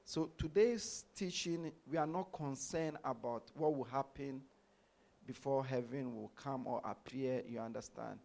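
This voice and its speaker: A pastor from Ghana preaches in a gravelly, mid-pitched voice. He punctuates nearly every sentence with an amen, building his teaching in short repeated phrases. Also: unhurried, darkish tone. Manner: says amen after nearly every line. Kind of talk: sermon.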